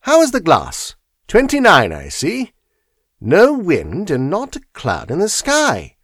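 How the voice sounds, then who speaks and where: A man's voice: sits high, wildly swooping pitch, slow, a bit bright, no echo, studio quality, voice pushed up, heavily acted. A man doing a lofty, offhand detective. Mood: aloof. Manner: crisp, offhand, slightly lofty. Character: cool, aloof male detective, dryly amused.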